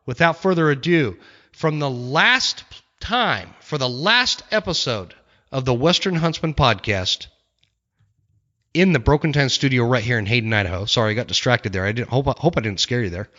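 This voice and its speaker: A man's voice: mid-range voice, expressive, moderate pace, a bit bright, highs cut off. An American man hosting a podcast in a casual, conversational way, close on a studio microphone. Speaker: podcast host.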